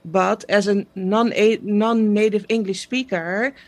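A woman's voice: medium pitch, steady tone, slow. A woman from the Netherlands with a Dutch accent, a Dutch native speaker, chatting casually as an interview guest with many fillers and easy laughs. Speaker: guest, social media page creator.

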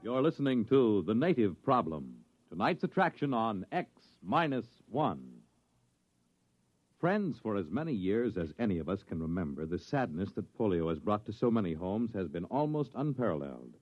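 A man announcing on 1950s radio, reading a scripted message in a slightly husky voice. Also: fairly low pitch, sing-song, moderate pace, dry recording. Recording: dull, dry vintage radio recording.

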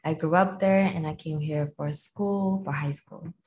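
A young woman from Kazakhstan talks easily as a guest on a casual podcast, her voice sitting a little low. She fills her sentences with likes and ums, and faint noise hums in the background. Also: a little slow. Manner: relaxed and chatty, many fillers like "like" and "um".

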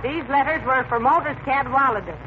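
A woman's voice: high-pitched, a little fast, natural voice. A woman acting a patient but firm, teasing wife in a 1940s radio comedy. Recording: noisy, muffled old radio recording.

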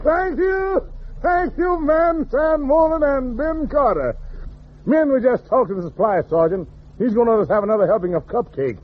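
A man emcees a vintage radio variety show in a very high-pitched voice that swings about freely. The recording is old and muffled, with some faint noise behind him. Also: animated, moderate pace. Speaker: show host and comic performer.

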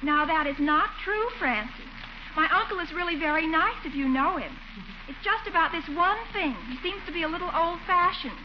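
A teenage girl in an old radio comedy, very high-voiced, earnest and dramatically plaintive. The recording is dull, with clear noise behind her. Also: normal speed. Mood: despairing. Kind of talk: 1940s radio comedy acting.

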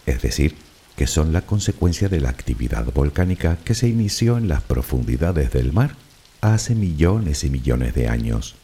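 A man with a Canarian Spanish accent narrates a sleep relaxation in a very deep, mellow voice. His tone has a slightly breathy, husky edge. The delivery is soft and unhurried, meant to lull the listener. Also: big pitch swings. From Canary Islands. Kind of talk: slow guided relaxation narration.

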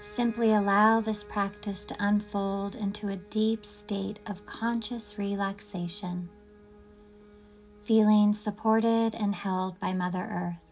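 Slow, soothing woman guiding meditation over music. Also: mid-range voice, fairly even intonation. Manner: gentle, soothing, unhurried with long pauses. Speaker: yoga nidra guide. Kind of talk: guided meditation narration.

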